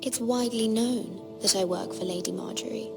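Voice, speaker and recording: An actress playing a young noblewoman serving as a handmaiden in a fantasy game, soft-spoken, polite and reserved. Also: mid-range voice, moderate pace, creaky, close to an everyday voice. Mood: guarded. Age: young adult. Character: young noblewoman handmaiden, gentle, homesick, guarded. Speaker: voice actor. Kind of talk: dramatic video game acting. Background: music.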